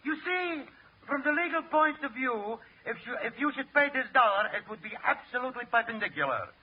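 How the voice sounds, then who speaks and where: A self-important, muddled lawyer in 1940s radio comedy. He blusters indignantly in a very high, swooping voice. The recording is muffled and very noisy. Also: animated, fairly quick, slightly rough, full character voice. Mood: pompous. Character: pompous, muddled male lawyer calling himself an intellectual.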